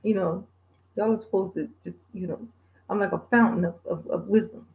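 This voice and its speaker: A woman in her forties hosting a livestream, chatting casually with viewers, laid-back and quick to laugh. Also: medium pitch, fairly even intonation, creaky, narrow, tinny audio. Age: 40s. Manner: laid-back, laughs often, sings bits. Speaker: livestream host. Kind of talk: casual chat reading viewer comments.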